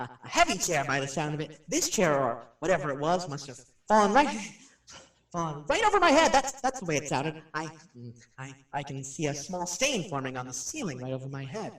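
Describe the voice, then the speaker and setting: A man's voice: a little high, fairly bright tone. An actor playing a nervous, chatty radio personality who rambles and stammers his way through a haunted house in a radio drama. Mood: uneasy. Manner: jittery, stammering, rambling, self-interrupting. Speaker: radio host. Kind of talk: radio drama acting, rambling live monologue. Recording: roomy, distant microphone.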